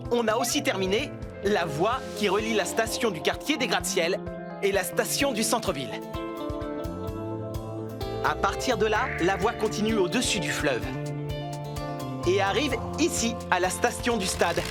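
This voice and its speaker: Lively, confident cartoon builder foreman. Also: high-pitched, normal speed, long pauses. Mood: upbeat, confident. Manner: clear explaining, encouraging. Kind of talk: dubbed children's cartoon acting. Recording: music behind the voice.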